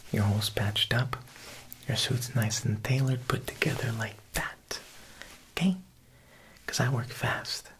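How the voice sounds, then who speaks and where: A man's voice: lowish voice, vocal fry, rough recording. Man playing a whispering Italian-American mob boss. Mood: friendly, assuring. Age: young adult. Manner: slow, hushed, insinuating, with pauses. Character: Italian mob boss tailor, smooth, quietly menacing family man. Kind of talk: whispered ASMR roleplay.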